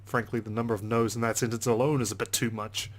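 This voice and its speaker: A man's voice: medium pitch, little pitch movement, quick pace. A man reading a visual novel aloud in the first person with a dry, sardonic delivery. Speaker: let's play narrator.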